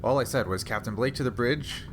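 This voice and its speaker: A man's voice: mid-range voice, fairly quick, noisy background, lightly acted. A man playing an anxious, earnest female starship first officer in a tabletop game, with a hesitant, plain delivery. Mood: uneasy, cooperative.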